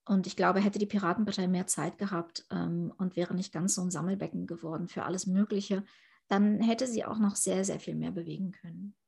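A woman's voice: fairly low pitch, steady tone, a little fast, vocal fry, a little mellow, husky. A woman in her thirties from Ukraine, answering interview questions in an earnest, thoughtful way. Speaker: interviewee, politician.

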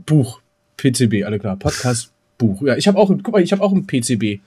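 Fast-talking man in casual chat. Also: medium pitch, sing-song, dark tone, husky. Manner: colloquial, repeats himself for emphasis. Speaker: podcast co-host.

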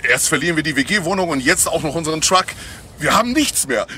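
A man's voice: fairly high pitch, lively intonation, brisk, bright, forceful tone, a little hiss or hum. A man voicing an exasperated, gloomy talking animal in a comic audio play. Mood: exasperated, despairing.

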